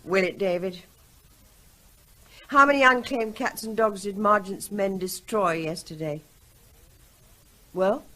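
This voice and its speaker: A woman's voice: mid-range voice, slow, pauses often, pushed and bright, rough recording. An actress playing an anxious middle-aged wife who pleads emotionally with her husband in a radio drama. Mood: distressed. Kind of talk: 1970s radio drama acting.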